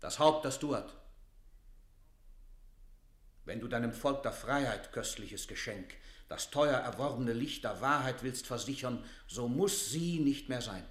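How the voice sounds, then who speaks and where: A man's voice: mid-range voice, reverberant, close to an everyday voice. An actor playing a stern, zealous lord advising a queen, formal and insistent, in a classical radio play.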